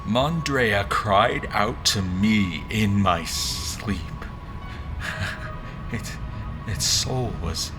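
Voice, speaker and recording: A man playing a grim, commanding general who narrates his own dark fantasy tale in a formal, brooding, deliberate way. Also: on the low side, over music. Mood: bitter, intense. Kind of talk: scripted audio drama, first-person narration and dialogue.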